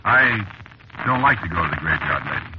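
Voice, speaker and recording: A man's voice: sits low, very animated, brisk. An actor playing a streetwise, jittery working-class American cab driver who tells his story in slangy, hesitant fashion, in old radio drama style. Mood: wary. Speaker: narrator and lead character. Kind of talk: 1940s radio drama acting, first-person narration. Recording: noisy, muffled old radio broadcast recording.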